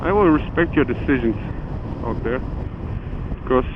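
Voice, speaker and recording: A man's voice: medium pitch, animated, moderate pace. German-accented man vlogging from a motorcycle. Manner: rambling, self-deprecating, talks to himself. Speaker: motovlogger. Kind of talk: live motovlog chat while riding. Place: outdoors on a motorcycle in winter. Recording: phone-like audio with strong wind and engine noise. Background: wind and vehicle noise.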